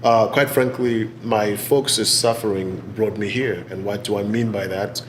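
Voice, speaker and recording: A man in his forties with a Zimbabwean accent, giving measured, articulate answers in an interview. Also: fairly low pitch, fairly even intonation, slight background noise. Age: 40s. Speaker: interviewee, business executive and gallery owner. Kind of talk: oral history interview answers.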